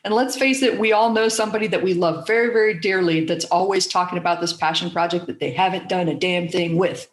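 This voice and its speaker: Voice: medium pitch, fast talker, few pauses. A podcast host with a soothing voice chatting candidly and animatedly, swearing casually now and then. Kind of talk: casual conversation. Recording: echoey room.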